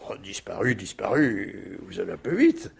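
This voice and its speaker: An actor in a French radio mystery play, playing a wry, nonchalant husband who evasively shrugs off suspicion. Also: male voice, low voice, very animated, moderate pace, gravelly, breathy edge, exaggerated voice. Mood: ironic, unbothered. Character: wry, unruffled husband suspected over his missing wife.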